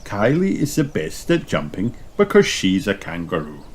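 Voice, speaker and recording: A man reading a children's picture book aloud in a slow, sing-song storytelling manner. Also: deep voice, huge pitch swings, slight background noise. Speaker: storybook reader. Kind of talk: children's read-aloud narration.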